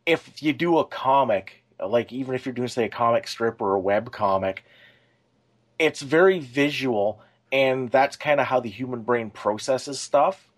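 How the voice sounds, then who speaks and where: Man, medium pitch, casual, conversational, explanatory, podcast co-host. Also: unhurried.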